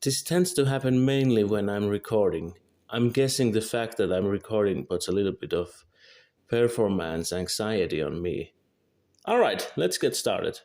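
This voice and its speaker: A man's voice: fairly low pitch, lively intonation, moderate pace, darkish tone. A young man in his early twenties from Finland, with a Finnish accent and Finnish as his first language, reading a scripted monologue carefully and correcting small slips as he goes. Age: early 20s. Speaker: video creator.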